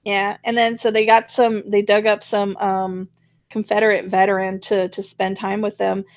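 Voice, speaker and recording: Female talk host, conversational and wandering, medium pitch, very dry recording. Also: level tone, normal speed, dry recording. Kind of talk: casual conversational commentary.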